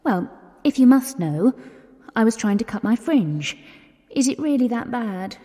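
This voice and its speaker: A woman's voice: a little high, sing-song, measured pace, dark tone. An actress playing a nervous but plucky young English lady in 1920s radio theatre, polite and hesitant with dry understatement. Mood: dry, defensive. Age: young adult. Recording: long echoing reverb, music underneath.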